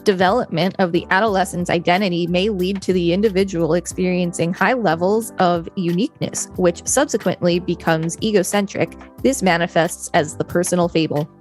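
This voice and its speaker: A woman with a slightly low voice co-hosts a podcast. She reads reference material aloud in a measured, explanatory manner. Music plays behind her. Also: fairly even intonation. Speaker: podcast co-host. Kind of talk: reading an encyclopedia article aloud with brief chat.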